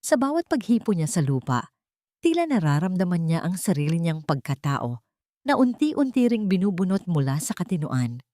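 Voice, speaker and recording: Lady doing dramatic audiobook narration, lowish voice, really dry close sound. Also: very animated, normal speed, mellow, no room sound. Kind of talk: dramatic scripted story narration.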